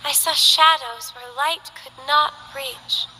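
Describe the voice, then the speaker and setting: A woman voicing a timid, devout young acolyte who talks softly and apologizes often. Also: high-pitched, takes it slowly, slight background noise, exaggerated voice, poor audio. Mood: pensive. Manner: soft, hesitant, apologetic. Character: gentle young female acolyte of a holy order, timid and devout. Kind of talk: game character voice lines.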